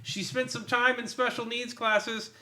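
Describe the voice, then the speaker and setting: A man's voice: very high voice, level tone, measured pace, pushed and bright, slight background noise. A man in casual podcast chat, reading out bits of a game book with sardonic, sweary commentary. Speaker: podcast co-host reviewing a role-playing game book.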